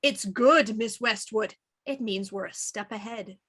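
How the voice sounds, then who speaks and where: An actress playing an actor who voices a stern inspector, with clipped, probing questions. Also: female voice, medium pitch, measured pace, a bit bright, husky. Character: young woman actor, sharp and sarcastic, voicing a stern inspector. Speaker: actor playing a police inspector in a period radio play. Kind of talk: audio drama acting.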